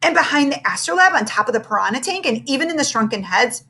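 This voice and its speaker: An eccentric, kindly schoolmaster from a children's storybook, as a woman reader plays him. The delivery is brisk and encouraging, with animated, swinging pitch that sits fairly high. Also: slight background noise, exaggerated voice. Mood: concerned.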